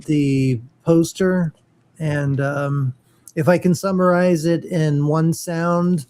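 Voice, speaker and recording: A man's voice: on the high side, steady tone, slow pace, a little mellow. A man co-hosting a casual geek chat show, stammering and trailing off as he hunts for words. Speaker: co-host.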